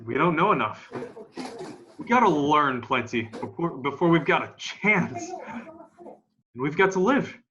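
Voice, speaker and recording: A young man playing a grim, defiant militia survivor of an alien invasion in an amateur radio play, with a folksy, halting, emphatic delivery. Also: a little high, sing-song, sharp tone, slight background noise, exaggerated voice. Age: young adult. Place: room at home. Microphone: video call. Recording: dull, lo-fi video call.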